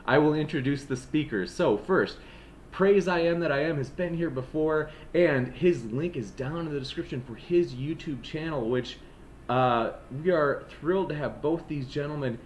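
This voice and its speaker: A man's voice: on the high side, normal speed, slight background noise. Upbeat Midwestern male debate moderator. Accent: Midwestern American. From Wisconsin, USA. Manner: friendly, upbeat, casual. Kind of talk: hosting an online debate.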